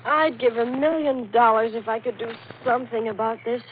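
A woman's voice: a little high, normal speed. A woman reading scripted lines in a 1940s radio commercial. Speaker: commercial announcer. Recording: muffled, noisy old radio recording.

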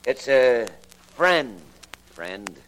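An American actor playing a menacing, sneering gangster killer in a 1940s radio crime drama, slangy and taunting. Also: male voice, medium pitch, big pitch swings, drawn-out words, fairly bright tone, heavily acted, rough recording. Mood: sly menace.

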